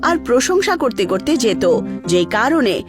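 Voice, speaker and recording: A woman's voice: fairly high pitch, big pitch swings, moderate pace. A woman narrating a scripted cartoon story as its storyteller. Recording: music behind the voice.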